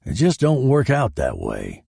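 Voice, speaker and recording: Man acting a flustered police detective, gravelly and complaining. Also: mid-range voice, wildly swooping pitch, measured pace, mellow, dry recording, clean studio sound, exaggerated voice. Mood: vague, weary. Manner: complaining, grasping for reasons. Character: flustered, blustering male police detective, losing control. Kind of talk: dramatised short story reading.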